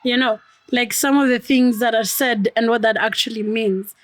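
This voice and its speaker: Podcast guest, Amharic-young woman, high pitch, laughs a lot. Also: normal speed, no echo. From Ethiopia. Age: 20s. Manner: lively, laughs often, many "like" fillers. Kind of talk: casual interview chat.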